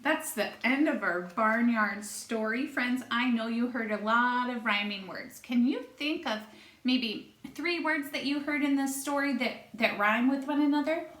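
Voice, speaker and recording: Female primary teacher, storybook read-aloud: unhurried, expressive phrasing, fairly high voice, echoey room. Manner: expressive, clearly spaced phrasing addressed to children. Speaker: first-grade teacher. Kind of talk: read-aloud of rhyming storybook for young children.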